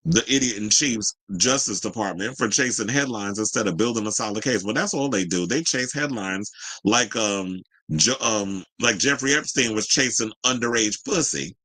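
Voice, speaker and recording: A man's voice: lowish voice, moderate pace, sharp tone, low-quality recording. An American man hosting a news and gossip show, reading stories and adding blunt, profane, sassy asides in colloquial speech.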